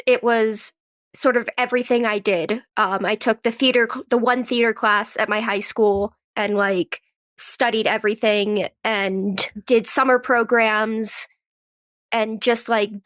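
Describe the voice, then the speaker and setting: A woman's voice: fairly high pitch, steady tone, unhurried, a bit bright, dry recording. A woman guest on an interview, telling personal stories in a chatty, informal way and voicing little quoted remarks. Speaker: podcast guest, actress and writer. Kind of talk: casual chat, personal storytelling.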